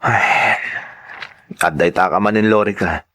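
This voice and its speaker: An actor playing a weary, humble young husband in a radio drama, questioning and mildly exasperated. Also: male voice, on the low side, a little slow, pushed and bright. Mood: bewildered, exasperated.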